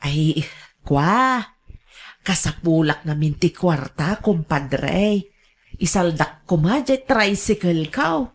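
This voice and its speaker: A woman's voice: fairly low pitch, lively intonation, slow pace, heavily acted. A woman acting in a radio drama as a meek, pleading elderly gambling mother, with a hesitant, stammering delivery and a Filipino Ilocano accent. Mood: wheedling.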